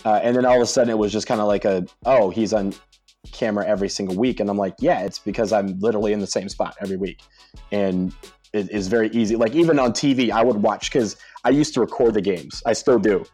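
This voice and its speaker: American guy chatting on a podcast, lowish voice, dry close mic, laughs a lot. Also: fast, dry recording, soft background music. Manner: animated storytelling, laughs often. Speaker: podcast guest, soccer supporter leader. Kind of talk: casual conversation.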